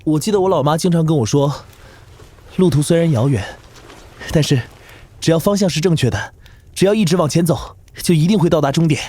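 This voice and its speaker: Husky, idealistic young mage. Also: male voice, fairly high pitch, fairly quick, long pauses, mellow. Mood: idealistic, determined. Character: young male human mage, idealistic, earnest, wry. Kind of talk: animated fantasy drama acting.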